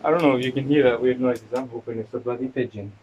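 A young man vlogging by a rocky shore and in a sea cave, talking into a handheld selfie camera, shifting between dramatic hushed storytelling and loud exclamations. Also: medium pitch, fairly even intonation, fast, dull audio, slight background noise. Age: young adult. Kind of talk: excitable travel vlog.

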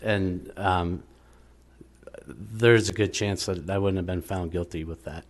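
A middle-aged business owner answering questions at a public meeting, defensive and quick to argue with figures. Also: male voice, sits low, fairly even intonation. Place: town council meeting room, indoors. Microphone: desk microphone.

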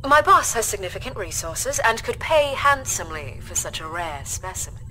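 A woman's voice: medium pitch, big pitch swings, normal speed, pushed and bright, poor audio. An actress voicing a poised female alien broker who speaks in a formal, precise, businesslike way. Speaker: game character.

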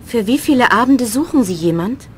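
A woman's voice: on the high side, expressive, a little fast, a little mellow, slight background noise, close to an everyday voice. A woman voicing a young woman from abroad applying for a job, reading a scripted textbook dialogue. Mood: polite. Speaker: language course dialogue voice.

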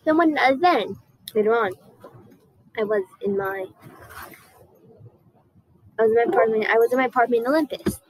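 A young girl playing an indignant, vengeful Greek goddess queen in a school monologue, haltingly and emphatically repeating her phrases. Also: a little high, darkish tone, a little hiss or hum, natural voice, poor audio. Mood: recounting, flustered. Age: child or young teen student. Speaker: student performer. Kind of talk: costumed first-person school monologue. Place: outdoors under a wooden canopy.

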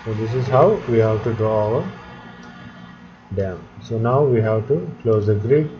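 Slow, low-voiced man giving a software tutorial. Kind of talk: step-by-step screen tutorial narration. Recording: dull lo-fi audio, background chatter, clicking.

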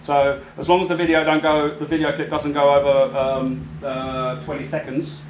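An Australian man walks a crowd through a live product demo, pausing often with ums and restarting his sentences. He is recorded in a roomy, echoey shop, with some background noise from the crowd. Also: a little high, little pitch movement. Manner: hesitant, full of ums and restarts. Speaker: product demonstrator. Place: crowded store at a product night.

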